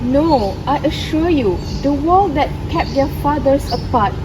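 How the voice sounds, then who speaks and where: A woman's voice: high-pitched, lively intonation, measured pace, background noise. A young woman playing earnest stage characters in an amateur dramatic reading of a play. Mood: assuring. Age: young adult.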